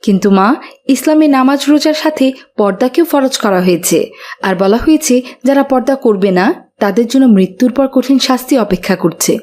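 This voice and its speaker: A woman voicing a caring, devout mother who gently admonishes in a moral cartoon. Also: high-pitched, animated, brisk. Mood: dismayed, pleading. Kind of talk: Bengali moral cartoon voice acting.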